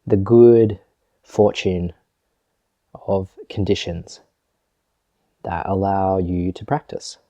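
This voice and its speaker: A man leading a guided meditation as a teacher, speaking gently and in a measured way with long pauses. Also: low-pitched, steady tone, no echo, lo-fi sound.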